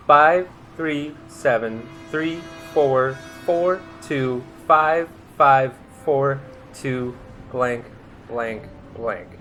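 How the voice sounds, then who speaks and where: A man's voice: medium pitch, fairly bright tone, lightly acted. A man playing a wry, inquisitive young masked sidekick in a radio drama, with a casual way of talking. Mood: reading aloud.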